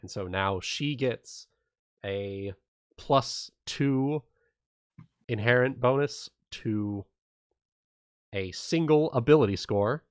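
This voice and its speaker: A man acting as game master on a casual livestream, explaining and recapping the game in an informal, halting way with some swearing. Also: mid-range voice, smooth, no echo, studio quality.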